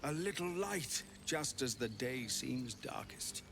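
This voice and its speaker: A man's voice: medium pitch, expressive, takes it slowly, vocal fry, music in the background. A man playing an earnest, solemn leader readying a desperate defense in a video game. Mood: relieved, earnest. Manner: solemn, resolute.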